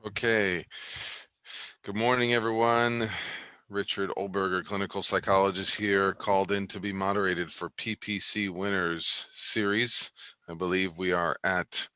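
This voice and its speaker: A middle-aged man, a clinical psychologist, hosts a live online interview over a video call, speaking haltingly with many fillers and restarts. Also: low voice, bright, forceful tone, dry recording. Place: home in Los Angeles, video call.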